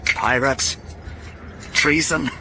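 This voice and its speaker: An actor playing a proud, wounded prisoner and father in a radio drama, gruff, stubborn and indignant. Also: medium pitch, fairly even intonation, drawn-out words. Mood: indignant, strained. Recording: noisy ambience behind the voice.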